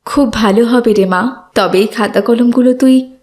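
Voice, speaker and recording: A woman's voice: on the high side, normal speed, darkish tone, close to an everyday voice. A woman playing a poor widowed mother in a cartoon, weary and admonishing, firm but loving. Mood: resigned. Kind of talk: cartoon voice acting.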